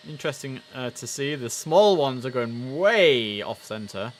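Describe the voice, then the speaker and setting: A man's voice: mid-range voice, unhurried. A man hosting a casual game livestream, chatting away and slipping into clipped air traffic radio phrasing. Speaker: livestream host. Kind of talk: casual game streaming commentary. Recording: steady background noise.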